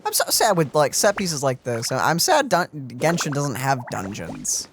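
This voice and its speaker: A man's voice: a little high, very animated, a little slow, fairly bright tone, a little hiss or hum. A man hosting a let's play, chatting casually in a playful, offhand manner. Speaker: let's play host and player. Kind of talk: casual gaming chat.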